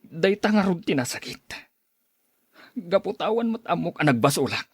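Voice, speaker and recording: A man's voice: high voice, expressive, moderate pace, husky, no room sound, studio quality, heavily acted. A man with an Ilocano accent acting a heartbroken, self-pitying young man in a radio drama, plaintive and brooding. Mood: bitter hurt.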